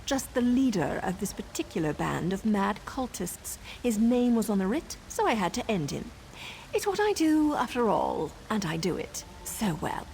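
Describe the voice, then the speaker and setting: A woman's voice: mid-range voice, sing-song, normal speed, vocal fry, a little mellow, a little hiss or hum, rough recording. An actress voicing a wry, teasing and self-assured female assassin in a fantasy video game. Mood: smug, satisfied. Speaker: assassin and mentor. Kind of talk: video game character dialogue.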